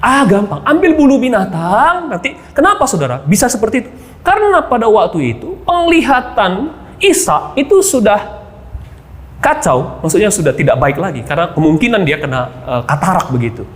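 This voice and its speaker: A man's voice: high-pitched, sing-song, brisk. A male pastor preaching a sermon who keeps addressing the congregation directly and asking rhetorical questions. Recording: echoey hall reverb.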